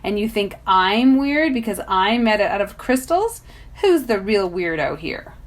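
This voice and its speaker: A woman's voice: medium pitch, sing-song, a little slow. A woman voicing a guarded, commanding teenage boy made of crystal in a storybook reading. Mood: ominous, teasing. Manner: commanding, suspicious, faintly irritated.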